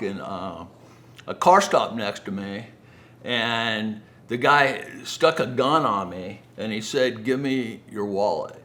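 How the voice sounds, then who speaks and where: A man's voice: medium pitch, fairly bright tone. An older American man telling personal stories to an audience in a conversational way, acting out remembered dialogue. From Los Angeles area, United States. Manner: conversational, acts out quoted dialogue. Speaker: guest speaker, business founder.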